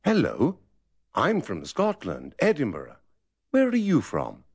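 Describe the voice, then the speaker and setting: A man's voice: medium pitch, wildly swooping pitch, slow pace, vocal fry, breathy edge, full character voice. An actor playing a businessman from Edinburgh who flirts at a bar, in a playful, showy way. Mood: flirty. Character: smooth-talking Scottish businessman, flirting at a bar. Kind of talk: scripted language course dialogue.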